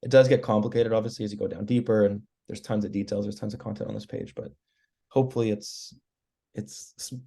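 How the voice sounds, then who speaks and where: A hoarse man, losing his voice after a cold, walking colleagues through editing web pages in a relaxed, explanatory way on a video call. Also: fairly low pitch, fast. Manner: relaxed, explanatory, clears throat often. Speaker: web team member giving training. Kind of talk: screen-share walkthrough on a work call.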